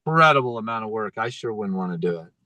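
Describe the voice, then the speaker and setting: A man's voice: lowish voice, animated, fast, pushed and bright, no echo. Easygoing man guest, dry sound. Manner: easygoing and appreciative. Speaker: guest filmmaker and musician. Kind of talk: casual interview chat.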